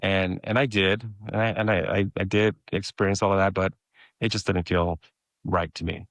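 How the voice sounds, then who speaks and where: A man's voice: low-pitched, flat delivery, moderate pace, fairly bright tone, no echo. A middle-aged man from Mexico whose first language is Spanish, speaking as a guest and often hesitating and restarting as he tells his story. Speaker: podcast guest. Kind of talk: personal storytelling in conversation.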